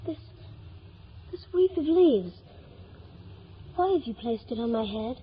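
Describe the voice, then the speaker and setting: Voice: medium pitch, expressive, slow, a little hiss or hum. An actor playing an earnest, humble young boy with wide-eyed, formal speech in a 1950s radio drama. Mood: pleading. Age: child character. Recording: dull vintage radio recording with music.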